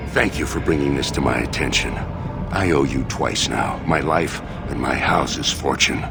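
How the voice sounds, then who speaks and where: Very deep, gravelly dwarven lord. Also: male voice, normal speed. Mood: grateful, earnest. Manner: formal, stately. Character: grateful, dignified dwarven lord, a warrior noble. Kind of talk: fantasy video game acting. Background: game music.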